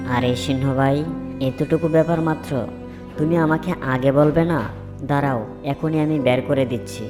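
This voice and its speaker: A wary forest creature voiced by a man talks in a middling pitch in a fable cartoon, with a protesting, cautious manner. Music plays clearly underneath. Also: fairly even intonation, moderate pace, lightly acted. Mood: obliging. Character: wary forest animals: crow, fox and heron. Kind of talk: children's fable cartoon dialogue.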